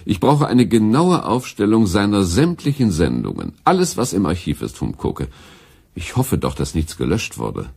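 A man's voice: low voice, big pitch swings, normal speed, vocal fry, a little mellow, slightly rough, exaggerated voice. A man playing an officious, placating radio station director in a radio play. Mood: brisk, officious. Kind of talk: German radio play acting.

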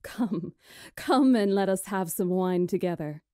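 A woman's voice: medium pitch, unhurried, no echo, clean studio sound, close to an everyday voice. A woman voicing a composed, authoritative witch in a visual novel, with formal, stately delivery. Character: composed, authoritative witch presiding over negotiations. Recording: loud music over the voice.

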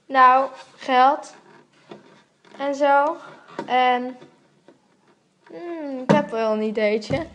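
A woman's voice: high-pitched, steady tone, drawn-out words, long pauses, fairly bright tone, highs cut off, a little hiss or hum, heavily acted. A woman playing Samuel, a small, weak gang defector, in amateur radio drama with a hesitant, casual delivery. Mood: hesitant, bargaining.

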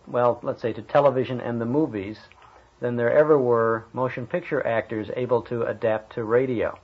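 A man's voice: mid-range voice, fairly even intonation, moderate pace, husky. A man interviewing guests conversationally, complimentary, with hesitant phrasing. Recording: dull lo-fi archive interview audio.

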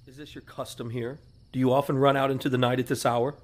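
An actor playing a proud, fussy old family servant who scolds in formal, wordy speech in a western audio drama. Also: male voice, medium pitch, flat delivery, fast talker, background noise, lightly acted. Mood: disapproving. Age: older.

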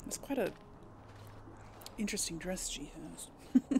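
A woman's voice: fairly low pitch, expressive, takes it slowly, gravelly, warm tone, slight background noise. A woman giving casual role-play commentary on a video game, informal and musing aloud. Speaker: gaming channel host.

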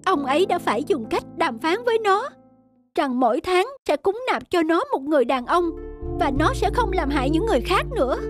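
Mournful village wife, extremely high voice, plaintive, anxious, cartoon folktale, music underneath. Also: female voice, big pitch swings, brisk. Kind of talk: animated folktale dialogue. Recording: music behind the dialogue.

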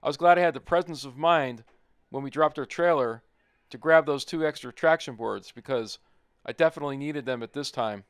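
A man's voice: mid-range voice, brisk, smooth, dry recording, clean studio sound. A middle-aged man narrating his off-road trip in a plain-spoken, easygoing voice-over. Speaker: overlanding vlogger.

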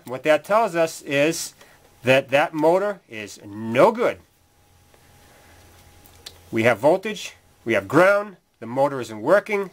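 A man giving casual hands-on how-to commentary in a folksy, plainspoken way, as a repair tutorial presenter. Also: on the high side. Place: garage workshop, at engine bay and workbench. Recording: clearly noisy workshop recording with clicks. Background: noise, tool clicks.

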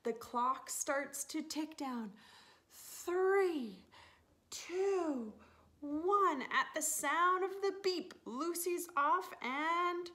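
Animated, higher-voiced woman reading slowly to children. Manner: animated, with gasps and drawn-out words. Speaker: teacher reading a picture book to young pupils. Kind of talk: expressive children's story time read-aloud. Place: living room, indoors on a sofa.

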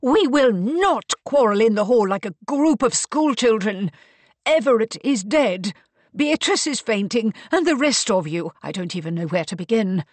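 A woman voicing a commanding, exasperated matriarch, brisk and scolding, in an audiobook. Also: mid-range voice, animated, dry recording, natural voice.

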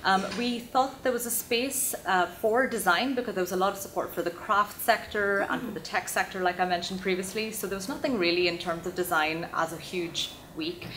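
A woman introducing her work on a conference panel, fluent and steady. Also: fairly low pitch, slight background noise. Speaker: panel speaker, design event founder. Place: conference stage, large hall.

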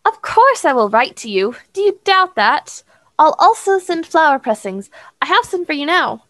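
A woman's voice: high voice, sing-song, moderate pace, fairly bright tone, no room sound. A woman playing a sweet, affectionate young noblewoman and sister with quaint, polite phrasing in a tabletop game.

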